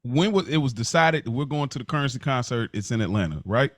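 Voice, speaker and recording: Animated, inquisitive man, dry close sound. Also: medium pitch, fast talker, dry recording. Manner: inquisitive, reacting with short interjections. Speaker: podcast co-host. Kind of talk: casual podcast chat.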